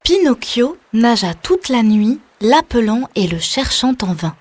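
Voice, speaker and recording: A woman's voice: fairly high pitch, sing-song, measured pace. Woman narrating a children's story over music. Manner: addresses the child listener with questions.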